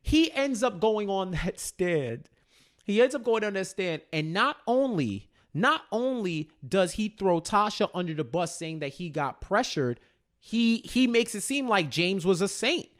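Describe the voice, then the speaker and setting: A man co-hosting a casual TV recap chat, retelling in an animated way and repeating phrases for emphasis. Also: high-pitched, measured pace. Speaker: co-host of a TV recap show.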